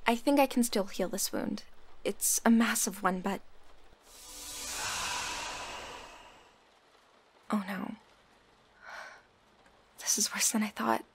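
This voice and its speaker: A woman playing an earnest, concerned young healer in an audio roleplay, speaking emphatically with a breathy voice. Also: mid-range voice, measured pace, a little hiss or hum, close to an everyday voice. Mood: worried. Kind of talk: ASMR audio roleplay acting.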